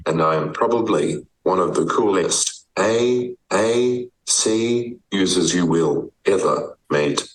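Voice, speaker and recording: A synthetic male voice with an Australian accent reads typed text in a deep, low register. It moves at an unhurried, measured pace and proclaims each statement. The sound carries a roomy echo. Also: expressive, recorded from a distance. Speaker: guest, advocate. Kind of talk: typed text read aloud by speech software.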